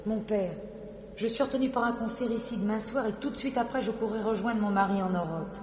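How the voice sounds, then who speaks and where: Une actrice en jeune mariée résolue, son très réverbéré. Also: female voice, mid-range voice, brisk, darkish tone, close to an everyday voice. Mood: resolute. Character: determined, poised young bride. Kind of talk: French radio drama acting. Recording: dull, echoey hall with noise.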